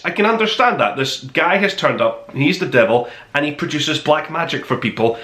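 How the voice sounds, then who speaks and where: Male book reviewer, bright and forceful, emphatic and rambling, fairly high voice, recorded in a roomy space. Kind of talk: casual spoken book review to camera. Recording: roomy, echoey sound.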